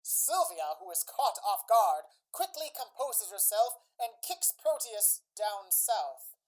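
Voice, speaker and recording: A woman giving scripted dramatic narration as the storyteller of a radio play, in measured phrases. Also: medium pitch, very animated, low-quality recording.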